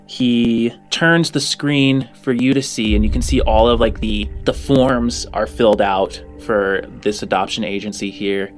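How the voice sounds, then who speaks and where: A man runs a sci-fi tabletop game as its game master, in a mid-range voice. He describes scenes informally and haltingly as he makes them up, with a music bed behind him. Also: mid-range voice, fairly even intonation.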